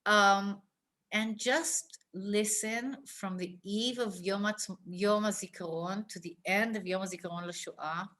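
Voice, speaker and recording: An older woman from Israel giving an informal, personal lesson, speaking reflectively and pausing often mid-phrase. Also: mid-range voice, fairly even intonation, fairly bright tone. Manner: reflective, halting delivery with pauses mid-phrase. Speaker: teacher, scholar.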